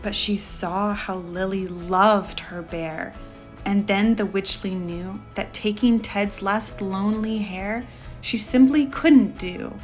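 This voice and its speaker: Slow-paced woman reading a children's story. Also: medium pitch. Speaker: storyteller, channel host. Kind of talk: expressive children's book read-aloud. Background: faint music.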